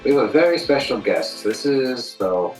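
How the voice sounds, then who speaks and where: A man's voice: mid-range voice, lively intonation, normal speed, darkish tone, faint music behind. An American man hosting an informal podcast conversation in a self-deprecating, jokey way. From Virginia, United States. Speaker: podcast host. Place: alternative studio space, indoors. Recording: echoey room.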